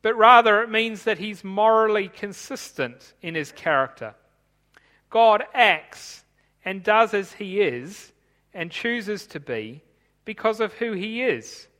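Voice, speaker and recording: A man who grew up in Tanzania, preaching a sermon in a measured, explanatory way while reading from his notes. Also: high-pitched, lively intonation, pushed and bright, long reverb tail.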